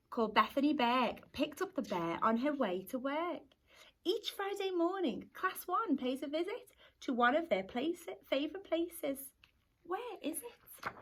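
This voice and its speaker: Lady teacher with a high voice reading a rhyming kids book out loud, really expressive. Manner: expressive storytelling for young children. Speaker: primary school teacher. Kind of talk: children's picture book read-aloud in rhyme.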